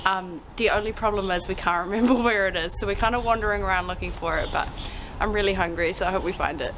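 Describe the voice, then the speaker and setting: A woman's voice: medium pitch, fast talker, low-quality recording. A young woman, chatting casually as a travel vlogger, full of "like" fillers, talking outdoors into a handheld camera. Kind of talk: casual vlog narration. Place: outdoors on city streets. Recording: loud street noise outdoors.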